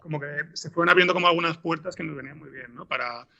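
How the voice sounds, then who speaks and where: A man's voice: fairly high pitch, quick pace, gravelly, pushed and bright, muffled recording. A man with an accent from Spain giving unscripted interview answers as a writer, hesitant and rambling, often repeating words. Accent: Spanish from Spain. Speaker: interview guest, podcast scriptwriter.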